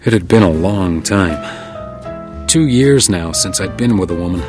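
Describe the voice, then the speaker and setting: An actor playing a composed, weary hotel detective in a radio crime drama, speaking in a measured, understated way. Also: male voice, sits low, lively intonation, over music. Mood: brooding. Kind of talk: radio crime drama, noir first-person narration and dialogue.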